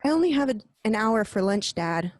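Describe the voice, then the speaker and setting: A man's voice: sits high, sing-song, brisk, voice pushed up. A man voicing a sweet young adult daughter talking to her father.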